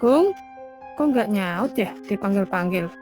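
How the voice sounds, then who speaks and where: A woman voicing an anxious, devoted middle-aged mother in an animated drama. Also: medium pitch, a little slow, soft background music, close to an everyday voice. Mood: concerned, calling. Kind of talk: animated cartoon drama dialogue.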